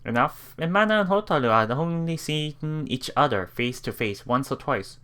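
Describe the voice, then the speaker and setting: A woman's voice: low voice, expressive, moderate pace, fairly bright tone, clean tone. A woman hosting a let's play, reading visual novel text aloud and reacting with giggly asides. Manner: giggly, reactive asides between read lines.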